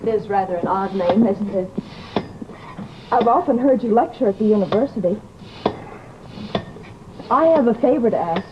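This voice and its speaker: A woman's voice: medium pitch, expressive, moderate pace, long pauses, natural voice. An actress playing a troubled, genteel young lady in old-time radio drama, speaking in a polite, earnest, imploring way. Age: young adult. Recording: muffled, noisy old radio recording.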